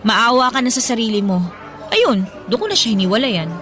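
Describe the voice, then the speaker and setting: An actress playing a feisty, bubbly young office worker on a date in a Filipino audio drama, speaking expressively with a Filipino accent. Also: female voice, fairly high pitch, a little fast, faint music behind. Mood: wry, confiding. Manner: playful, sassy, expressive. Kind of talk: Tagalog audio drama dialogue.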